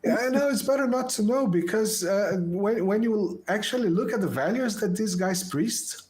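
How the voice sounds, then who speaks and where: A man from Portugal hosting a casual political podcast chat, speaking in halting bursts and repeating words, with wry remarks. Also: sits high, expressive, a little mellow. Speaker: podcast host.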